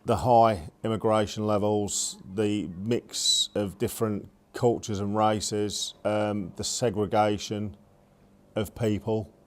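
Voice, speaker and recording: A man speaks bluntly in a low voice. His pitch barely moves, so his emphatic points come out flat and hard. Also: slow. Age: 40s. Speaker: protest organiser.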